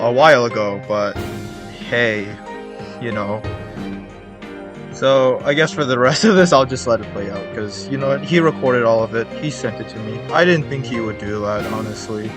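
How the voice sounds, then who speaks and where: A young man chatting in a casual vlog, rambling with joking, self-deprecating asides. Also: mid-range voice. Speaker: YouTuber.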